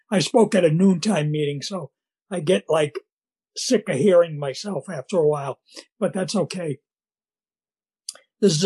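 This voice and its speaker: An older man with a Boston accent and a scratchy voice, telling his recovery story at a meeting in a halting way, with many fillers and restarts. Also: a little high, darkish tone, slightly rough. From Massachusetts, USA.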